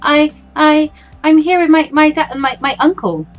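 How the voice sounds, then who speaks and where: A woman voicing a tipsy, flustered fourteen-year-old schoolgirl in a visual novel, awkward and nervously halting. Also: sits high, lo-fi sound, faint music behind, voice pushed up, natural voice. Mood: nervous stammering.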